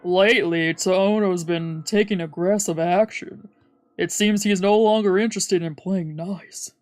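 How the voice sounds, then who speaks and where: A woman voicing a stern male gang boss, formal and measured, in a visual novel read-aloud. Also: lowish voice, fairly even intonation, darkish tone, no room sound, lightly acted. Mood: grave, firm. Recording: music behind the voice.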